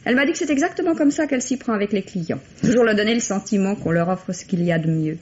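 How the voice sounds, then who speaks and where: A woman's voice: medium pitch, fast, a little mellow, close to an everyday voice. A woman playing a matter-of-fact police station jailer who reports plainly, in a vintage radio mystery. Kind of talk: 1950s French radio mystery acting. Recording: dull, noisy old radio drama recording.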